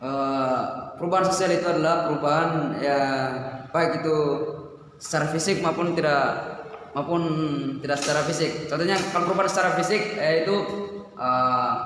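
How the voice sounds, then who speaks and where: Bright-voiced student, hesitant, echoey room. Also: male voice, on the high side, normal speed. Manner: hesitant, many fillers. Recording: echoey room, faint noise.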